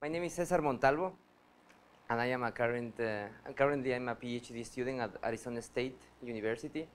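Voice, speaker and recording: A man in his thirties from Ecuador presents at a research workshop. His voice sits at an ordinary middle pitch, and he speaks earnestly, stopping often to restart his sentences. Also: quick pace. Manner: hesitant, many fillers and restarts, earnest. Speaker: PhD student presenter. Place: lecture hall.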